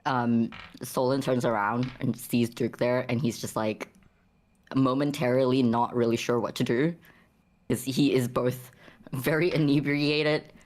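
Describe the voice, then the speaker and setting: A woman with a strikingly deep voice. She narrates roleplay casually, stopping and repeating herself as she works out each action. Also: fairly even intonation, measured pace, a little mellow. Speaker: tabletop RPG player.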